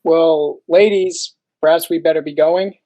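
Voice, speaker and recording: Man acting mild official, mellow dark tone, formal, hesitant, lonely, radio drama. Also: on the high side, fairly even intonation, unhurried. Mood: mild, wistful.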